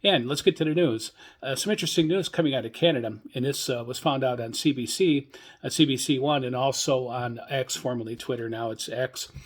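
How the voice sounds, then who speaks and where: An older man with a mid-range voice talks as the solo host of a hobby radio podcast. He gives informal commentary on news, moving haltingly and rambling from point to point. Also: medium pitch. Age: older, 60s or above. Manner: hesitant, halting, rambling delivery.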